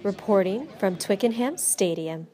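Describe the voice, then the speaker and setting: A young woman student reporter reading a scripted news voice-over clearly and evenly. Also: medium pitch, expressive, moderate pace, a loud crowd. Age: young adult.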